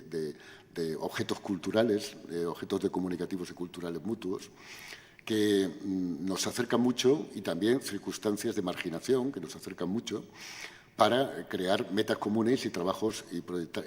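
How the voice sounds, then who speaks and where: An older man gives a measured, lecturing answer at an academic conference. His voice sits on the low side and he keeps checking in with short tag questions. The sound carries the long reverb of a university auditorium. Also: darkish tone. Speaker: invited speaker at academic conference.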